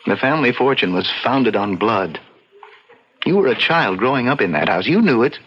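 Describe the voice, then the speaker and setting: An actor playing an earnest, romantic young colonial gentleman in a radio drama, hesitant, formal and melodramatic. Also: mid-range voice, very animated, moderate pace, breathy edge. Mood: accusing. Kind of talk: old-time radio drama acting. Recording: dull, lo-fi radio drama recording.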